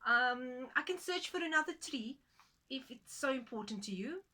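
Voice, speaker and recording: A woman's voice: high-pitched, expressive, moderate pace, bright, forceful tone, pitched above the performer's own voice, full character voice. A woman voicing a kind, easily flustered woodcutting wife in an exclamatory storybook style. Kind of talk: storybook character voice.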